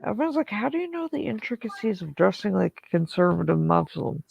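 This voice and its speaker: Gravelly chatty woman host. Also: medium pitch, very animated, brisk, darkish tone, highs cut off. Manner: chatty storyteller, quotes herself in asides. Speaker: stream host. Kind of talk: casual group chat on a stream.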